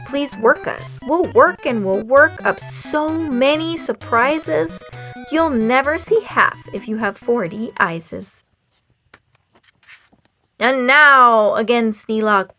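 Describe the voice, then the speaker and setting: A woman reading a rhyming children's book aloud in a theatrical, expressive and playful storytime manner. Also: on the high side, no room sound. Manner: dramatic, expressive, playful emphasis.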